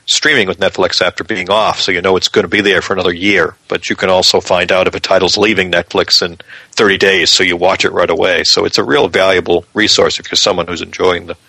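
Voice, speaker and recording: A man's voice: low voice, flat delivery, quick pace, creaky, pushed and bright, no echo, poor audio. A man co-hosting a podcast, explaining things in a casual, conversational news chat. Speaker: podcast co-host.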